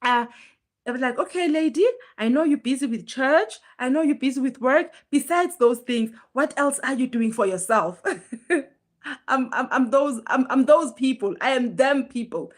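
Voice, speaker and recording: A woman hosting a casual motivational live stream, her voice hoarse and strained from illness as she pushes it, chatting earnestly and greeting viewers by name. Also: high-pitched, animated, moderate pace. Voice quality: normally very loud voice, pushing it now.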